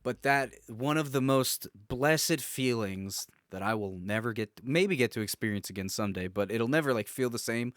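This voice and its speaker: A man co-hosting a podcast in casual, nostalgic banter, telling stories in an animated way with easy swearing. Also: on the low side, clear, resonant tone, no echo, studio quality. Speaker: podcast co-host.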